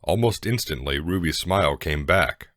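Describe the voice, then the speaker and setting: A man with an extremely deep voice narrates a story from a script. The recording is dry and close, with little room sound. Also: animated, moderate pace, a bit bright, no echo.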